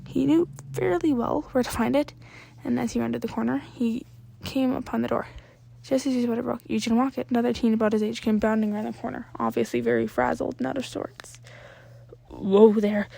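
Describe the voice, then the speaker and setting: A woman's voice: a little high, fairly even intonation, quick pace, gravelly, few pauses, mellow. A woman reading scripted fiction aloud as an amateur story narrator.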